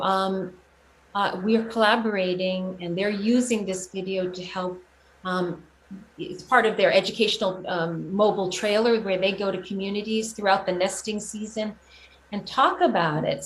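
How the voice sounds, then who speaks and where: A woman giving a casual interview about her own work, speaking earnestly and haltingly as she thinks out her explanations. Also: medium pitch, poor audio. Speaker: guest, children's singer and teaching artist. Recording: clear steady background noise.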